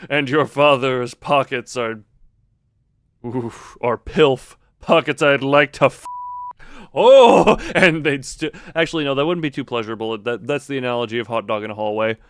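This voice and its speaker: A man's voice: mid-range voice, normal speed, clean tone, no echo. A man giving casual, rambling live commentary as he plays a video game, with jokey exclamatory asides. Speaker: live streamer playing a horror game.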